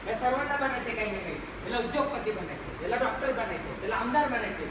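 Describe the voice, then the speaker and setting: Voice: medium pitch, lively intonation, fast, close to an everyday voice. An actor playing a bold, earnest schoolchild, declaiming with repeated phrases in an amateur stage play. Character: bold, earnest schoolchild who idolises the teacher. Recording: echoey hall from far off, noisy.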